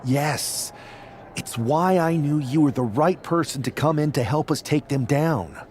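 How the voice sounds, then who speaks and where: A man's voice: on the high side, a little slow, a little mellow, close to an everyday voice. A man playing a loyal, encouraging young wrestler in a video game cutscene. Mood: sincere, nostalgic. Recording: faint background noise and ambience.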